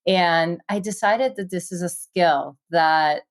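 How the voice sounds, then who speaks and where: A woman from Israel with an Israeli accent, an investor telling her career story in a quick, enthusiastic conversational way with many fillers. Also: fairly low pitch. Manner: fast, enthusiastic, many fillers and "you know". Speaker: guest, tech investor.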